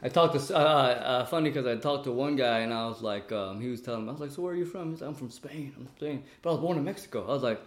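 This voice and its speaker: A man with a mid-pitched voice gives casual interview answers in an echoey room. He speaks emphatically, often repeating a phrase to stress it. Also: fast talker, few pauses. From United States.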